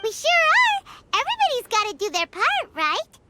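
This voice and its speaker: A woman's voice: extremely high pitch, huge pitch swings, moderate pace, sharp tone, heavily acted. A woman voicing a small, childlike floating girl sidekick in a video game, chirpy, eager and emphatic. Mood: eager, cheerful. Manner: chirpy, emphatic, refers to herself in third person. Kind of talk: video game cutscene acting. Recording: prominent game music behind.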